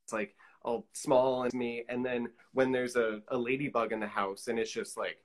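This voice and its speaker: Male, middle-aged, mid-pitched. Casual interview guest on a video call, hesitant and exploratory delivery. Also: little pitch movement, moderate pace. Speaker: guest, collage artist. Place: indoor room, on a video call.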